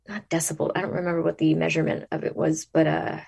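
A woman actress talking casually in an interview, thinking aloud and restarting her sentences, her pitch jumping up when she makes a point. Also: little pitch movement, quick pace, creaky, a little mellow, husky. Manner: rises in pitch when emphatic.

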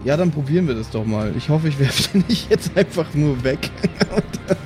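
A man's voice: a little high, lively intonation, normal speed, noisy background. A man playing a tabletop role-playing game, talking casually and jokingly. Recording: music clearly behind the voice. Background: background music.

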